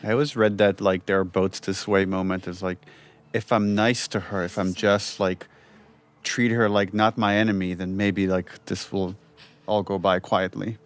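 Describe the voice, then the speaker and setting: A man's voice: deep voice, little pitch movement, normal speed. A man giving casual director's commentary on game scenes, talking informally through what he sees.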